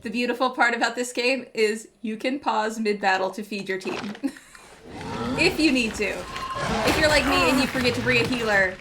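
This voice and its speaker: A woman's voice: a little high, moderate pace. A woman giving playful, chatty, casual live commentary as a game streamer. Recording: loud game music behind voice. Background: game music and sound effects.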